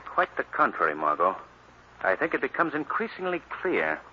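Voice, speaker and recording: Urbane, decisive amateur detective, very expressive pitch, on dull, slightly noisy vintage radio. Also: male voice, moderate pace. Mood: analytical. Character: decisive, analytical male gentleman sleuth. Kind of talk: 1940s radio mystery acting.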